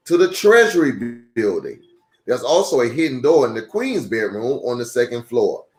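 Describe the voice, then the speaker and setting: An echoey, roomy recording carries a man's voice as he reads a children's book aloud. His pitch moves in a lively way, and his phrasing is deliberate and a little halting, in an informal storytime style. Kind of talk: read-aloud storytime with casual asides.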